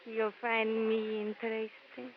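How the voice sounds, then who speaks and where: A woman playing an alluring, guarded femme fatale in a vintage radio drama, with measured, stilted formal phrasing. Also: a little high, completely flat delivery. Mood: guarded, coy. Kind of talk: 1940s radio drama acting. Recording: dull, noisy old radio recording.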